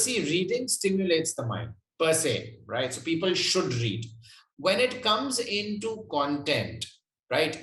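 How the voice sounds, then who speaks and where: Guy being interviewed, slightly high voice, explains stuff and checks in a lot, roomy echo. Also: fairly bright tone. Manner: explanatory, often checking with "right?". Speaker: interview guest. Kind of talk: conversational interview answers. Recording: roomy, echoey sound.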